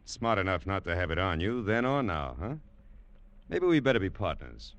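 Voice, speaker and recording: A man's voice: deep voice, very animated, moderate pace. An actor playing a cocky, taunting male thug in a 1950s radio drama. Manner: smug and taunting. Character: cocky male thug who mocks the hero. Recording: old radio broadcast.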